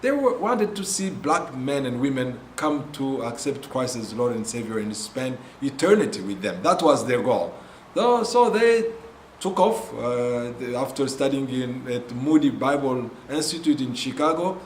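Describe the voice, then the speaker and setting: Halting Congolese-accented man in echoey room. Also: medium pitch, expressive, darkish tone, slight background noise. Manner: halting, frequent pauses and "uh" fillers. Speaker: ministry founder. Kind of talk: earnest spoken testimony.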